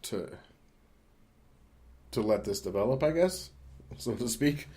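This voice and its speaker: A man's voice: mid-range voice, expressive, slow pace, warm tone. A man co-hosting a podcast, discussing film casually in a hesitant, rambling, conversational way. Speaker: podcast co-host.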